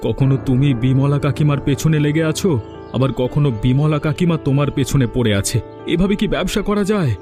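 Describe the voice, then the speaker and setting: A man acts an anxious grown son in a village cartoon, his voice a little high. He is hesitant and worried, and music plays clearly behind him. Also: brisk, lightly acted. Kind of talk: cartoon story voice acting.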